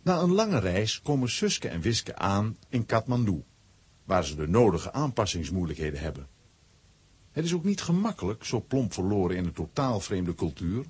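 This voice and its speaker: A man with a husky voice narrating a scripted children's story. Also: lowish voice, very animated, moderate pace, darkish tone.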